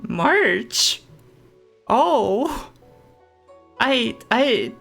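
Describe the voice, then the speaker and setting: A woman's voice: on the high side, big pitch swings, drawn-out words, gravelly, fairly bright tone. A woman gaming streamer giving casual, teasing reaction commentary as she plays. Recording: faint game music behind.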